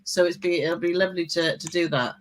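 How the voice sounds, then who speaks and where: A woman's voice: lowish voice, fairly even intonation, fairly quick. A British woman, a cruise vlogger guest, chatting and often asking her husband for agreement. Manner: chatty, asks for agreement with tag questions. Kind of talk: casual live-stream chat.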